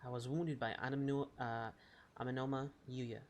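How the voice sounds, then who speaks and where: A man's voice: mid-range voice, little pitch movement, moderate pace, slight background noise. A man playing a video game and reading its text aloud in a subdued, dramatic way, now and then stumbling. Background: game music.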